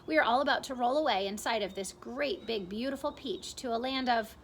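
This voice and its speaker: A woman reading aloud and voicing a kind, gentle female ladybug with a patient, reassuring manner. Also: fairly high pitch, a little fast, slight background noise.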